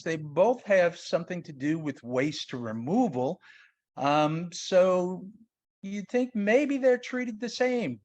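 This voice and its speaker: A man with a New York accent giving an informal explanatory webinar talk in a conversational, plain-spoken way. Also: on the high side. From New York, United States. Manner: conversational, plain-spoken, uses everyday examples. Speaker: sales tax consultant presenting.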